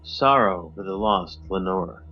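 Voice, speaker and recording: A playful man joins a podcast remotely and reads poetry slowly and with drama. His pitch swings widely, sinking low at the ends of lines. His line is dull and lo-fi, with faint music underneath. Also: lively intonation. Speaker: podcast co-host. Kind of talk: casual chat over a video call and dramatic poem reading. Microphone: remote call.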